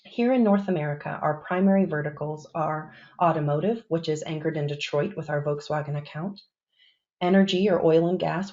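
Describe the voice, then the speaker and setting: A woman's voice: sits low, darkish tone, muffled recording. A woman moderating a corporate panel, presenting in a polished, upbeat, emphatic way.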